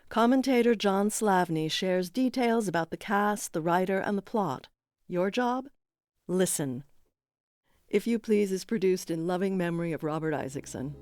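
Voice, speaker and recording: Lowish-voiced woman announcer, dry studio sound. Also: darkish tone, no room sound. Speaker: series announcer. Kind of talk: scripted broadcast introduction.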